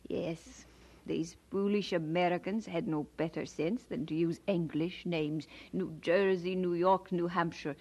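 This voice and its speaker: An actress playing a brisk, outspoken middle-aged Scottish aunt in an audio drama, with a husky voice. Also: female voice, lowish voice, fairly even intonation, darkish tone. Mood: opinionated.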